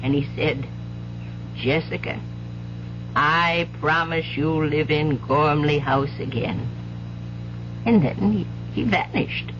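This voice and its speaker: An actress playing a haunted widow in a 1970s radio drama, speaking with dramatic, breathless urgency. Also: female voice, deep voice, slow, pauses often, noisy background. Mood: haunted, insistent. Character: haunted, insistent widow telling of a ghost. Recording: dull vintage radio recording with music.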